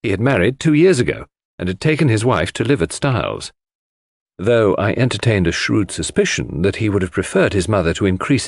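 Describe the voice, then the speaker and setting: A man's voice: lowish voice, dry recording, clean studio sound. A man reading a scripted first-person literary narration as an audiobook narrator.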